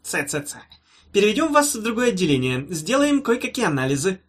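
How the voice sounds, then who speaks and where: High male professor, pompous, falsely soothing, pedantic, sly, husky, audiobook character. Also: big pitch swings, fast talker. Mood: ominously evasive. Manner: wheedling pedantic patter, tutting, padded with stock phrases.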